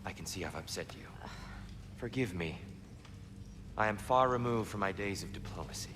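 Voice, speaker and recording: A humble, courteous god in a video game. His lowish voice is earnest and gentle, ringing in a reverberant space over clear ambient noise. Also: expressive, moderate pace. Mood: apologetic. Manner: soft-spoken, earnest, courteous. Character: gentle, humble male god of peace, former diplomat. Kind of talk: dramatic video game acting.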